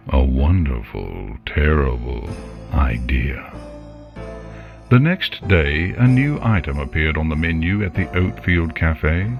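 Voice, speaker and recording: A very low, gravelly man tells an ominous story with dramatic swings in his delivery. He takes his time, and the recording is slightly dull with music playing clearly behind him. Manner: ominous, measured. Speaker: guest story narrator. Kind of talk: dramatic scripted story narration.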